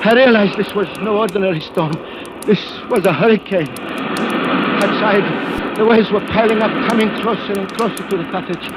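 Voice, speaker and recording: A man's voice: high-pitched, normal speed, very noisy, close to an everyday voice. An actor playing a frantic, greedy old man in a 1940s radio drama, overwrought and prone to pleading and stammering. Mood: tense, haunted narration. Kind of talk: 1940s radio drama acting, first-person narration. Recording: old radio recording with music behind.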